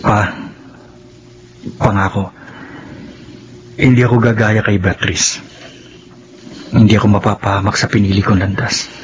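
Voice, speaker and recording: An actor playing a young Filipino grown son in a radio drama, contrite and pleading in a halting, emotional way. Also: male voice, lowish voice, little pitch movement, highs cut off, background noise, lightly acted.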